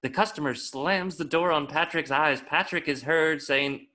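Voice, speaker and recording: A man's voice: a little high, steady tone, normal speed, sharp tone. A man reading scripted stage directions as the narrator of a table read.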